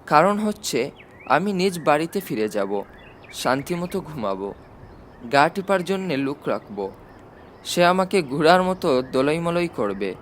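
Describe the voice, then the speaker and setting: A man's voice: on the high side, sing-song, a little slow, long pauses. A man reading as a wry old president, faint background noise. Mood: wryly cheerful. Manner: chatty, drily humorous. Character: wry, self-satisfied elderly male president. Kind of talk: audiobook character dialogue.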